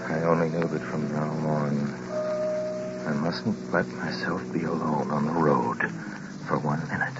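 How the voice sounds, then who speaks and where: An actor playing a haunted, anxious American motorist, intense and dramatic, in old-time radio drama style. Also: male voice, a bass voice, fairly even intonation. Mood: grim, shaken. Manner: intense, dramatic, halting when addressing others. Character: haunted, anxious male motorist telling his story. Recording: muffled vintage radio recording, loud music.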